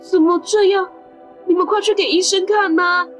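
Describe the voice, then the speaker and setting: A woman's voice: extremely high pitch, steady tone, normal speed, soft background music. A woman voicing an earnest, determined young boy who worries for his older brother, in amateur audio drama style. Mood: anxious, defiant.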